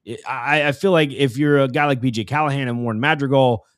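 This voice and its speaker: A man's voice: medium pitch, steady tone, quick pace, fairly bright tone, clean tone, dry recording, studio quality. A man co-hosting a sports talk show, giving conversational commentary in an earnest, explanatory way and often restarting his phrases.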